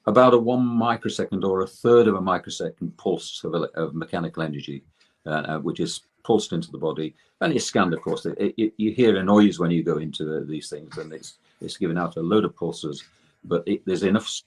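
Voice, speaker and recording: An older man with a husky voice explains technical material as a guest expert, haltingly and often correcting himself mid-sentence. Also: low voice.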